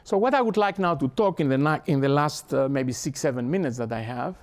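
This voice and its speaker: An older Greek-accented man lecturing to an audience with a somewhat raised pitch. He is earnest and halting, often restarting and repeating words as he works toward his point. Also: expressive. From Greece. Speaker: professor. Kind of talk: academic talk. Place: lecture hall.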